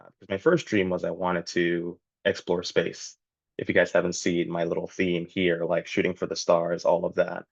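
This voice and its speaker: A man's voice: low voice, vocal fry, no echo. A young man from Jamaica with no accent, giving an earnest, casual webinar talk full of "you know" and "like". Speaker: guest speaker, entrepreneur. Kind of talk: webinar presentation. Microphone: video call. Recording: dull, lo-fi, dry call audio.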